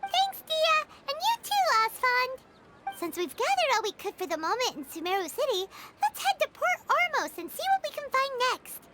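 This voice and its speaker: A woman voicing a tiny, cutesy, excitable fairy companion in a video game, childlike and curious. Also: extremely high pitch, moderate pace, very noisy. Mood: cheerful. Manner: cutesy, exclaiming, informal. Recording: loud music behind the voice.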